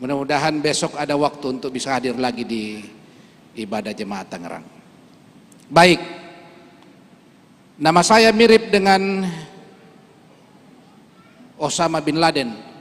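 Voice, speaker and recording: A man's voice: fairly high pitch, animated, measured pace, pauses often. A man from Toraja, a church leader, giving an earnest speech and then a solemn prayer at a Christmas service. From Toraja, Indonesia. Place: large church hall. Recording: long hall reverb.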